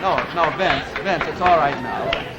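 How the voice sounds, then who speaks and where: A man's voice: on the high side, measured pace, dull audio, over a noisy crowd. A man hosting a live 1950s television panel game show with wry banter, on a vintage soundtrack. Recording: vintage TV soundtrack with studio audience noise. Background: studio audience.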